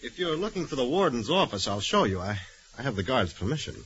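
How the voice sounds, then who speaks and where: A man's voice: medium pitch, very animated, fast talker, fairly bright tone. A man playing a hesitant, secretive, grateful convict artist in a 1940s radio drama. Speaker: radio drama supporting actor. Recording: noisy, dull vintage radio recording.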